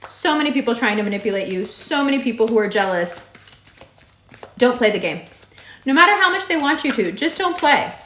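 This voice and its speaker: A woman with a raspy voice giving a monthly horoscope reading, direct and emphatic, repeating her advice. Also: a little high, animated, a little fast, a bit bright, slight background noise. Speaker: astrology reader. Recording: echoey room.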